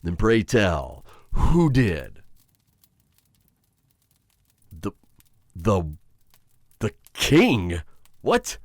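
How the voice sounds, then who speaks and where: A man playing a menacing, conflicted witch hunter in an ASMR roleplay, addressing his captive in a halting, intimate, taunting way. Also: on the low side, wildly swooping pitch, dry recording, studio quality, exaggerated voice. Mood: incredulous.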